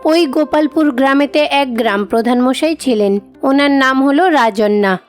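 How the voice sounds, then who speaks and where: A woman's voice: high voice, moderate pace. A woman narrator reading a scripted moral tale for a cartoon. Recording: music behind the voice.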